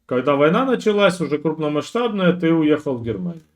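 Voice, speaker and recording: A man's voice: on the high side, sing-song, fairly quick. A man hosting a chat roulette stream on a headset, asking short, informal questions in casual chat. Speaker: streamer host.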